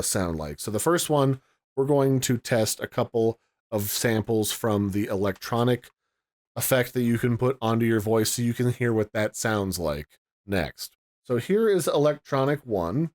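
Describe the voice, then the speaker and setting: A man giving a casual, chatty product review and walkthrough, explaining things in a folksy way. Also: medium pitch. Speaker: tech reviewer.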